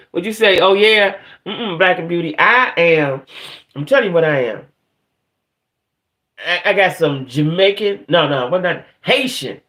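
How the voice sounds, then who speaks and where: A woman from the northern United States hosting a casual live stream, chatting playfully and colloquially in a rambling way, often tagging her thoughts with a quick check-in. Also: fairly low pitch, expressive, a bit bright. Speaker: live stream gossip show host.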